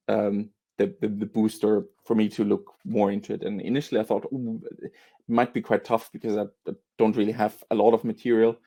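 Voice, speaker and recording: Guy with a lowish mellow voice, keeps stopping and restarting, lots of ums. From Austria. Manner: hesitant, many fillers and repeated words. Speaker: beer author and historian, guest. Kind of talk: interview answers.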